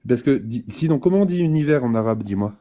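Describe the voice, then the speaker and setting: A man with a dark, mellow voice hosts a casual debate. He repeats words as he searches for them and keeps pressing his point with questions. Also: mid-range voice, animated, fast. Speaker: host.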